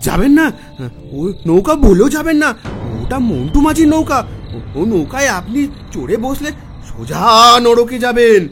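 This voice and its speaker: A crazed villager in a horror audio play. His voice is high, sharp and swooping, frantic and halting as he gasps and repeats himself. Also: very animated, faint music behind, full character voice. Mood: urgent warning. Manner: halting, gasping, repeating himself, wild cackles. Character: frantic crazed village man giving dire warnings. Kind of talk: horror audio drama acting.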